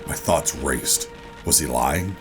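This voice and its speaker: An extremely low-pitched, brooding operative played by a male actor in a sci-fi audio drama. He is bitter and defiant, a man pulled back into service against his will. Music plays clearly behind his voice. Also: takes it slowly. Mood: brooding narration. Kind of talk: sci-fi audio drama acting with first-person narration. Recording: music bed under the voice.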